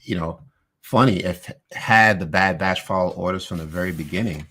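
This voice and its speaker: Deep-voiced guy cohosting a live stream, rambly animated recap, lots of you know. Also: normal speed, background noise. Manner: animated, talks through with restarts and "you know". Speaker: podcast co-host. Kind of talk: casual live-stream chat recapping an episode.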